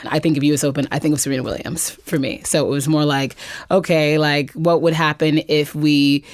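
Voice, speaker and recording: A woman's voice: sits low, fairly even intonation, brisk, no echo. A woman speaking casually as an interview guest, conversational and peppering her talk with like and you know. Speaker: guest playwright and performer.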